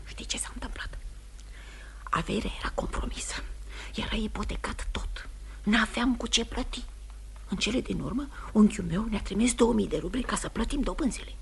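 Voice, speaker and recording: A woman's voice: mid-range voice, creaky. An actress playing a worried, scornful older mother in a Romanian radio play, speaking in an emphatic, theatrical way with sighs. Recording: noisy vintage radio drama recording.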